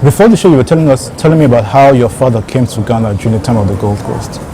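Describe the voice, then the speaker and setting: A man hosting an interview casually, friendly and quick to laugh. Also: medium pitch, noisy background. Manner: friendly, laughs often, brief backchannels. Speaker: host, channel founder.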